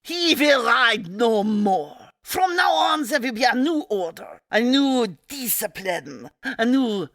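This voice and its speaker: A woman's voice: on the high side, big pitch swings, slow, hardly any pauses, a bit bright, no echo, clean studio sound, exaggerated voice. A woman playing an evil, fanatical Nazi supervillain queen in a comedic radio play, with a German accent and a grandiose, menacing manner. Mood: grandiose menace.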